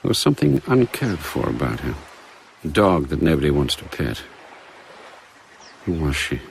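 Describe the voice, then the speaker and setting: An actor playing the grave narrator of a dramatised spy novel, measured and literary in his delivery. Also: extremely low pitch, sing-song, creaky, slight background noise, full character voice. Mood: grave, observant. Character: grave male storybook narrator of a spy thriller.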